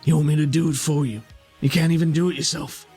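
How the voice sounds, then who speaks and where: Bitter male exile played by a man, guilt-ridden and brooding, husky slightly high voice, pointed lines, tabletop drama over music. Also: fairly even intonation, fast talker, a little mellow. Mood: cold fury. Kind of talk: improvised tabletop roleplay dialogue.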